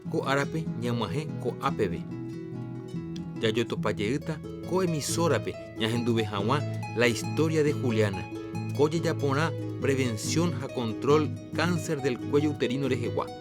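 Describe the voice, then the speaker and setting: A man's voice: mid-range voice, measured pace. A man with a Paraguayan accent making formal radio announcements. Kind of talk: program announcement. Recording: studio voice over loud guitar music.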